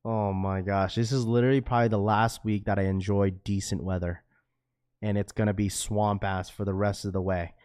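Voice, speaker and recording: A man's voice: lowish voice, flat delivery, fairly quick, a little mellow, clean tone, no echo, studio quality. A laid-back man hosting a livestream and chatting casually with his viewers, his talk full of "bro", "dude" and "like"; he is a parent with a school-age daughter. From Washington state, US. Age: young adult to middle-aged, a father of a schoolchild. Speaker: livestream host reading chat.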